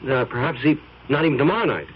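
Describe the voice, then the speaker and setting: A stammering evasive husband. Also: male voice, fairly high pitch, lively intonation, fast talker, husky. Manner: hesitant, stammering. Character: evasive nervous married man, adult. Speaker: husband in radio drama. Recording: muffled 1970s radio drama.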